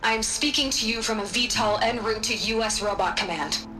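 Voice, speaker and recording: An actress playing a commanding, uncompromising female scientist in a video game, cold, deliberate and cutting. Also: on the high side, pushed and bright, faint music behind. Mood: firm. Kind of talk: dramatic video game acting.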